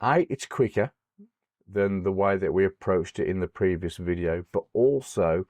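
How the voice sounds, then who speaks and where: A middle-aged man, hoarse from a cold, hosting a screen-recorded spreadsheet tutorial. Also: low-pitched, normal speed, a little mellow, dry recording. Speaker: host of an Excel tutorial channel.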